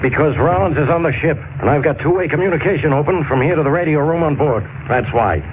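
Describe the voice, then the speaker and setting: Blustering, bossy male inspector, played by a man, higher-pitched, old radio serial, thin hissy sound. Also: fast talker, lightly acted. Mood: confident. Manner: blustering, barking orders. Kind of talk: 1940s radio acting. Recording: tinny, noisy old 1940s radio recording.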